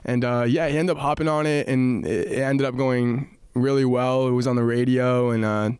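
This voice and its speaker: Smooth-voiced young man from Morocco. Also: medium pitch, steady tone, moderate pace, a little mellow, no echo, studio quality. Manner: earnest storyteller, many fillers and restarts. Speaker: musician guest. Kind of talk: casual podcast chat. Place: podcast studio.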